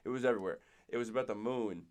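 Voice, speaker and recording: A young man, hoarse and nasal from a cold, co-hosting a casual podcast and rattling off game stats. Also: mid-range voice, rapid-fire, a little mellow. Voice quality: raspy, nasal. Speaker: podcast co-host. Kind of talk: casual sports chat.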